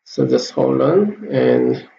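A man giving a screen-recorded coding tutorial, talking haltingly with many fillers and restarts. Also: mid-range voice, animated, gravelly, mellow. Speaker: programming tutor. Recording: roomy, dull lo-fi with faint hiss.

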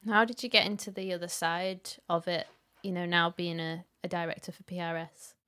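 A young woman hosting a music podcast from a home studio, upbeat and quick to laugh, on a desk mic with headphones. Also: lowish voice, little pitch movement, pushed and bright. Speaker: podcast host. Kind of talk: casual interview.

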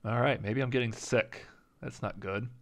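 A man casually commenting as he plays a strategy game, thinking aloud and second-guessing his choices, with a slight rasp and an occasional cough. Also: medium pitch, fairly even intonation, moderate pace. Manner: thinking aloud, indecisive, self-talking. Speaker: gaming channel host. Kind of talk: casual let's-play commentary.

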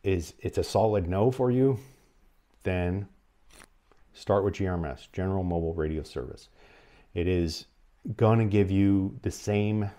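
A man with a North American accent speaks in a low, mellow voice. He gives explanatory advice to the camera, phrasing it in a measured, halting way. He sounds like a presenter in his forties. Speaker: presenter of an emergency radio advice channel. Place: small furnished room at home, indoors.

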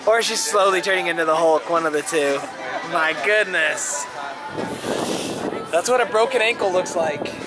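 A man's voice: sits high, moderate pace, pauses often, a crowd in the background, rough recording. A young man hosting a travel vlog, chatting and joking with a handheld camera at arm's length. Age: young adult. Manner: joking, upbeat. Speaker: vlogger host. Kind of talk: casual vlog chat. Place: restaurant, hotel and theater, indoors.

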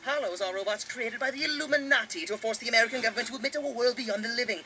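A man voicing a pompous, paranoid teenage conspiracy theorist archer, lecturing deadpan in an anime parody dub. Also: high-pitched, lightly acted. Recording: noisy, played in a reaction video.

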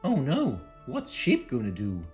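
Slow, very expressive storytelling woman. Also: rumbling low, faint music behind. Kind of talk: children's read-aloud narration.

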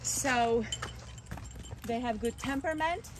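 A woman's voice: on the high side, slow. A middle-aged woman explaining things earnestly in a casual vlog, with non-native phrasing. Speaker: dog owner and vlogger. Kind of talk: casual vlog talk giving dog advice. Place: outdoors on a wooded hiking trail. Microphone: camera held by another person, a few steps away. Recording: noisy outdoor handheld camera audio.

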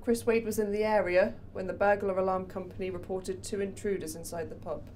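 Actress plays a matter-of-fact detective presenting evidence; faint background noise. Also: female voice, mid-range voice, steady tone, brisk, a little mellow, close to an everyday voice. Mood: serious. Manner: methodical, matter-of-fact case summary. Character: female police detective reporting to her boss.

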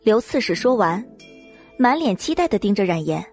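A woman's voice: mid-range voice, sing-song, normal speed, a little mellow, soft background music. A woman narrating a scripted novel as an audiobook reader.

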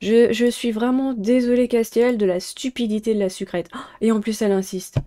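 A woman's voice: a little high, steady tone, fairly quick, mellow. A young adult woman giving casual playthrough commentary and reading game dialogue aloud as a streamer.

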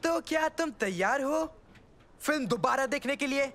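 Young cartoon boy, high voice, impatient, excitable, whiny, dramatic. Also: moderate pace. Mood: accusing. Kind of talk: animated cartoon dub.

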